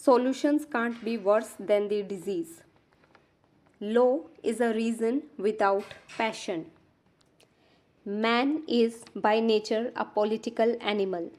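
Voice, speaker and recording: A woman with a soft-edged, mellow voice delivers scripted revision narration as an educational presenter. She reads each quotation aloud in a measured, deliberate way, keeping a relaxed tone. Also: a little high.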